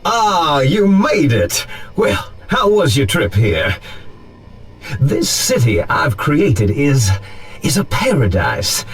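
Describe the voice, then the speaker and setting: A man voicing a smug, genial and grandiose corporate founder in an animated game cutscene, theatrical in delivery. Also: on the high side, slow, slightly rough, soft background music, full character voice. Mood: smug, welcoming.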